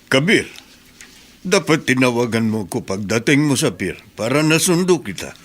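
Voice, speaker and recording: A man's voice: medium pitch, very animated, normal speed, a bit bright, soft background music. An actor playing a reassuring, fatherly middle-aged uncle who takes in his nephew, in a radio drama. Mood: chiding.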